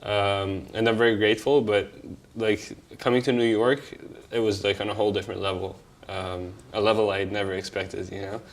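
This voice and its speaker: A young man from Egypt telling his life story in a casual, halting way, with many fillers and restarts and offhand, candid swearing. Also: lowish voice, little pitch movement.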